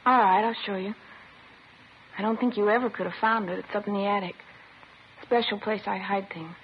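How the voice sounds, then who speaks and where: A woman's voice: mid-range voice, fairly even intonation, normal speed, close to an everyday voice. A woman playing a disturbed teenage girl in a 1950s radio drama, plaintive and childlike, matter-of-fact and wistful. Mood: detached, wistful. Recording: dull, noisy old radio recording.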